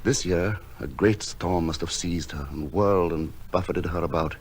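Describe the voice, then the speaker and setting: A man playing a gentle, lonely recluse in a radio drama, speaking and narrating in a measured, literary way. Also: low voice, slight background noise, natural voice. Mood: tender storytelling. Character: lonely, gentle, misshapen male recluse who tends wild birds. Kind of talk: radio drama acting and first-person narration. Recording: music behind the voice.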